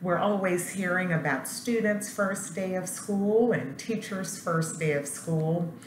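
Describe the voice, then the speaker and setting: A woman principal reading a children's picture book aloud in an expressive, deliberate storytelling way, with asides to her students. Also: fairly low pitch. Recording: roomy echo, faint background noise.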